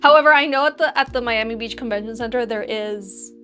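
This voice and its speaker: Chatty young woman with a high voice. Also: fairly quick, over music. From Argentina. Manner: chatty, self-teasing, laughs often. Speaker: livestream host. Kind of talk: casual livestream chat with viewers.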